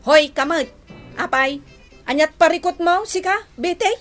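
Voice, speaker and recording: An actress playing a cheeky, feisty young village woman in an Ilocano radio drama, sassy and quick to retort. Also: very high voice, a bit bright, soft background music. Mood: scornful, feisty.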